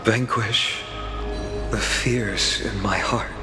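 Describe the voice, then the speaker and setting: A man playing a resolute young chosen hero in a video game, earnest, dramatic and deliberate in his delivery. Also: fairly low pitch, fairly even intonation. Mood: determined. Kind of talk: scripted game voice acting. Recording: echoey long reverb, loud music underneath.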